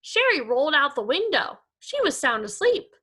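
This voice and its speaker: A woman with a bright, high voice reads to young children as their teacher. Her delivery is expressive and very melodic, moving freely up and down as she tells the story. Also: normal speed. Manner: expressive, addressing young pupils. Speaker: elementary school teacher. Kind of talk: animated children's read-aloud.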